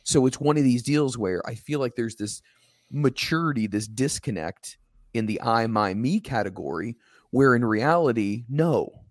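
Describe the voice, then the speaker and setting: A man co-hosting a casual wrestling podcast, a little hoarse, talking emphatically and ranting at times. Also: mid-range voice, normal speed, no echo, studio quality. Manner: emphatic, ranting, animated when quoting others. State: slightly hoarse.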